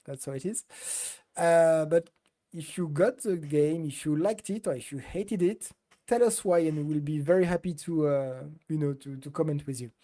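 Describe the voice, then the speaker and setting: A woman's voice: deep voice, moderate pace, mellow. A middle-aged woman co-hosting a casual gaming podcast, talking loosely with many fillers and hesitations. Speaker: podcast co-host.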